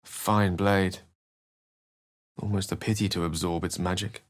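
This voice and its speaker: An actor voicing an erudite, thoughtful, wry wizard adventurer in a video game, with a measured, theatrical way of speaking. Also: male voice, low voice, fairly even intonation. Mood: measured, reflective. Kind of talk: video game voice acting, dialogue and narration.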